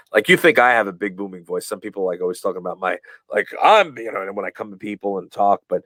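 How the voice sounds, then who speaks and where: A man being interviewed on a podcast, telling stories in a rambling way, full of fillers and restarts, and acting out quoted lines with lively voices. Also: on the low side, no room sound. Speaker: guest, professional wrestler. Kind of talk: casual storytelling in a podcast interview.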